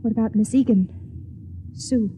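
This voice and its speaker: A woman's voice: on the high side, normal speed, mellow, slight background noise, close to an everyday voice. An actress playing a frightened, lovesick young pregnant wife in a 1950s radio drama, wistfully telling her story. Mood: anguished, resigned. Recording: muffled 1950s radio recording, music underneath.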